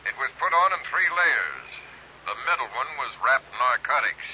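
A man's voice: mid-range voice, expressive, moderate pace. An actor playing a businesslike male police lieutenant, heard through a telephone filter in an old radio drama. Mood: brisk. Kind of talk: 1950s radio drama acting. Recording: dull, noisy old radio recording.

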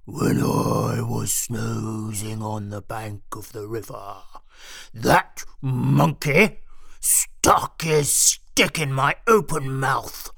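A woman voicing a sulky, grudge-holding male crocodile with a slow growling drawl. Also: extremely low pitch, gravelly, husky, no echo, studio quality, voice pushed down, full character voice. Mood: resentful.

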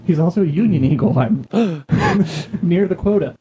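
A man with a Midwestern American accent co-hosting a casual comedy podcast, joking with deadpan asides. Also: sits high, measured pace, husky. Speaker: podcast co-host.

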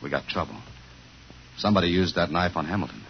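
An actor playing a tough, dogged police detective in a 1950s radio thriller, speaking in clipped, insistent lines. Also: male voice, low voice, lively intonation, fast talker, gravelly, a little hiss or hum. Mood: grave, probing. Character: tough, dogged male police detective defending his wife. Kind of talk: 1950s radio thriller acting, hard-boiled narration. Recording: muffled vintage radio recording with music.

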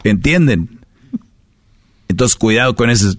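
A man's voice: fairly low pitch, expressive, unhurried, slightly rough, dry recording, clean studio sound. A Mexican man hosting a radio show, giving playful, joking dating advice and acting out little dialogues.

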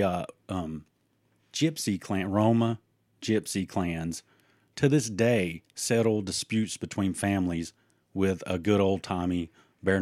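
A man with a low voice chats casually as a podcast co-host. He rambles, using lots of fillers and restarts. His voice sounds dry and close. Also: a little mellow, no echo. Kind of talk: casual chat.

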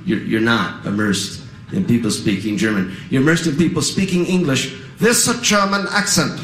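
A man's voice: medium pitch, normal speed, fairly bright tone, reverberant. A man doing a stand-up comedy routine, telling a wry story built around punchlines.

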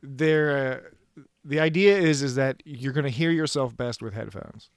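A man's voice: medium pitch, unhurried, clean tone, dry recording, clean studio sound. A man in casual conversation, explaining things in an informal way. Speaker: band member explaining audio setup. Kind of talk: casual chat.

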